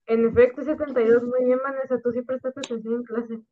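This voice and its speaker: A woman's voice: a little high, little pitch movement, fast talker, slight background noise. A young Mexican woman co-hosting a casual podcast chat, making dry, teasing remarks. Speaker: podcast co-host. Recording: tinny phone-like line.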